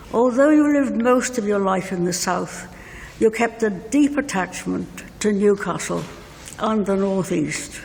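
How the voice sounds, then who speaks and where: Older woman, slow measured eulogy, gravelly creak, long church reverb, faint noise. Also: medium pitch. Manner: measured, deliberate phrasing. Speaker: bereaved mother giving a tribute. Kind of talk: reading a prepared eulogy letter. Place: inside a church at a funeral service.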